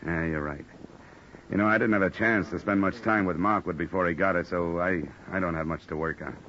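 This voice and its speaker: An American man acting a wry, hard-boiled insurance investigator in an old-time radio noir drama, deadpan and wisecracking. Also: sits low, brisk, slight background noise. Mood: businesslike. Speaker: actor narrating and playing a detective. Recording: dull lo-fi old radio recording, music behind.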